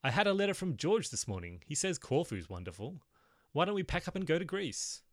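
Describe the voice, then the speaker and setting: A man's voice: fairly high pitch, sing-song, brisk, no echo, voice pushed up. Petulant young man, sarcastic and exasperated, a male narrator putting him on; dry, close sound.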